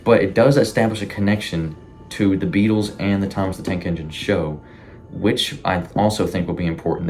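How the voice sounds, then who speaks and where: A man giving casual, rambling, informal commentary as a YouTube host, with animated reactions. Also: low-pitched, slight background noise. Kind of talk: casual commentary on a horror series.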